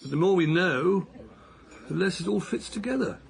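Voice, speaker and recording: An actor playing an older husband on a pilgrimage walk, speaking in a gentle, musing, wondering way. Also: male voice, high voice, expressive, moderate pace, gravelly. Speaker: man on a long walk, husband. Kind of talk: radio drama acting.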